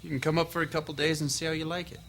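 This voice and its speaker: A guarded brother, quick-spoken. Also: male voice, a little high, little pitch movement, gravelly, a bit bright. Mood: subdued. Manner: guarded, terse, placating. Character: uneasy, restrained, respectable younger brother. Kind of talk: naturalistic stage-play acting. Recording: noisy recording, chirping crickets behind.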